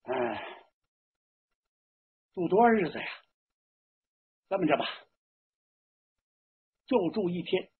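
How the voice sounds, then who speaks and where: A storyteller puts on a stern young husband from a folk tale. The voice is husky, curt and commanding as it lays down an ultimatum. The sound is muffled. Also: medium pitch, very animated, slow pace, long pauses, full character voice. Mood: stern, cold.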